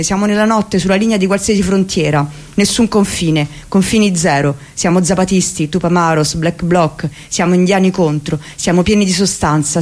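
A woman's voice: mid-range voice, darkish tone. A woman giving an impassioned, declamatory reading of a book for the radio. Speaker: reader. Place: radio studio.

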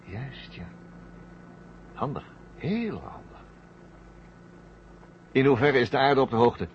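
A coldly triumphant astronaut who has turned traitor, in a vintage science fiction radio play. His taunts are sarcastic and swing widely in pitch. The recording is old and muffled, with faint noise behind him. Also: big pitch swings, slow. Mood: mocking. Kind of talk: 1970 Dutch science fiction radio drama acting.

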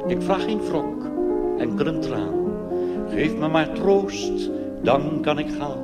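A man's voice: fairly high pitch, expressive, slow pace, loud music behind the voice. A man performing a theatrical cabaret song, half spoken and half sung, declaiming rhythmically and addressing his listeners directly. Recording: reverberant hall, loud musical accompaniment.

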